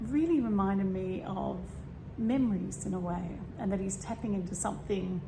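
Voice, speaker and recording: A woman with a slightly croaky voice talking casually and meanderingly about spirituality, with many fillers and throat clearing. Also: medium pitch, moderate pace. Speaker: spiritual vlogger. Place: home room with a fan running. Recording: steady fan hum behind. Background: fan noise.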